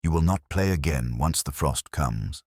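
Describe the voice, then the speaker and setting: A man playing a world-weary older detective inspector, narrating a mystery audio drama in a measured, literary, brooding manner. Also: very deep voice, expressive, darkish tone, slightly rough, no echo, studio quality, heavily acted.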